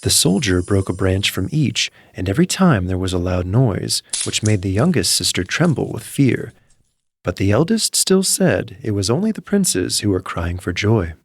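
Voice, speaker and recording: A man's voice: on the low side, very animated, moderate pace, breathy edge. A man narrating a scripted fairy tale as a storyteller, reading in full literary sentences. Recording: music behind the voice. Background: background music.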